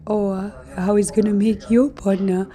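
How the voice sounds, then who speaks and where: A woman hosting an astrology talk to her viewers, hoarse and losing her voice, speaking casually with many fillers and soft laughs. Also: mid-range voice. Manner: slow, relaxed, many fillers and light laughs. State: losing her voice, deeper than usual. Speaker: astrology channel host.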